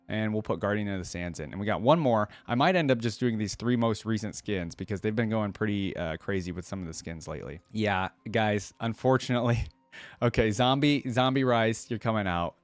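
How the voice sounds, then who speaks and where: Deeper-voiced man doing casual reaction commentary. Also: dry recording. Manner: informal, thinking aloud. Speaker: reaction video host.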